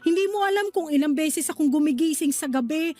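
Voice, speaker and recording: An actress playing a protective, guilt-ridden older sister in a radio drama, speaking earnestly and pleadingly. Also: female voice, high voice, brisk, darkish tone, soft background music, close to an everyday voice. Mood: guilty, pleading. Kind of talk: Tagalog radio drama acting.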